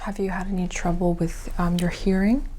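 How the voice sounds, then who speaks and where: A woman whispering softly and breathily in an ASMR medical roleplay, gently and carefully narrating an examination like a clinician. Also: lowish voice, flat delivery, normal speed, a little hiss or hum, low-quality recording.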